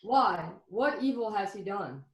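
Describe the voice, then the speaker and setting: A woman reading the part of Pilate, a weary Roman governor, in a church scripture reading, in a subdued, measured way. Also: mid-range voice, lively intonation, close to an everyday voice. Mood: troubled. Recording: echoey room with loud noise.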